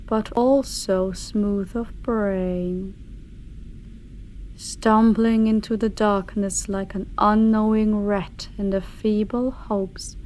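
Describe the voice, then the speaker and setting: A woman voicing an ancient, haughty dark dragon in a grandiose, archaic ASMR fantasy monologue, deliberate and sometimes dropping into a breathy whisper. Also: medium pitch, a little hiss or hum, rough recording. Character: ancient female dark dragon, eldritch, haughty, menacing, contemptuous.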